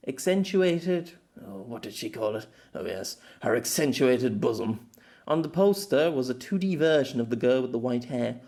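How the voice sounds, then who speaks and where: A man reading a web novel aloud as narrator, in an expressive amateur audiobook style. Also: medium pitch, creaky.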